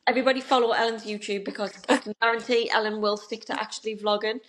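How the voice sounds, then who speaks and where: A woman's voice: on the high side, fairly even intonation, fast, fairly bright tone, rough recording. A young woman, co-hosting a podcast in casual, bubbly chat. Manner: chatty, bubbly, gushing. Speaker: podcast co-host.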